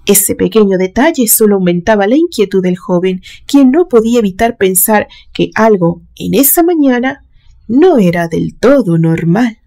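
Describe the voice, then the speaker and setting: A woman reading a literary suspense story aloud in a measured, dramatic storytelling manner. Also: medium pitch, lively intonation, mellow. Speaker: narrator reading a horror story.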